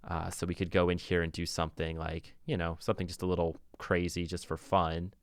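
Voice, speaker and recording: A man's voice: deep voice, flat delivery, fairly quick, gravelly. A man giving a casual, informal music production tutorial, thinking aloud as he demonstrates on a close mic. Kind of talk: casual software tutorial talk.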